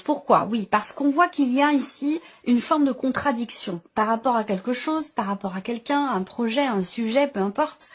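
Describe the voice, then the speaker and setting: A woman giving an improvised tarot reading to viewers, explaining as she goes and often rephrasing as she searches for words. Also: on the high side. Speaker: tarot reader and channel host.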